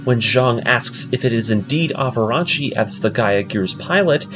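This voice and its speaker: A man with a mid-pitched voice hosts a story recap series over clearly audible background music. He narrates in a scripted storytelling style and mixes in casual, fan-style commentary. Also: animated, moderate pace. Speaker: host of an anime story breakdown series.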